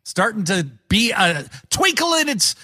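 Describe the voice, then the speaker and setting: A man's voice: high-pitched, big pitch swings, a little slow, bright, forceful tone. A man in his forties co-hosting a podcast, talking bluntly and wryly, with plenty of swearing. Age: 40s. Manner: blunt, profane, wry. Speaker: podcast co-host. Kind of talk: casual chat.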